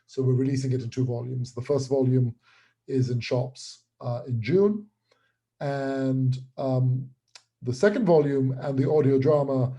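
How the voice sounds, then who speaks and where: A man's voice: mid-range voice, dark tone. A man talking casually as an interview guest and creator, explaining things with repeated, restarted words.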